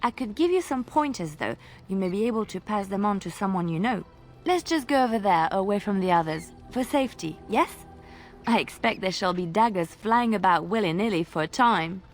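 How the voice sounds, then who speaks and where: Woman playing a genteel, mid-pitched adventurer. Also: animated, a little fast, lightly acted. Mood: tactful, hesitant. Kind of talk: video game character dialogue. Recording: music behind the voice.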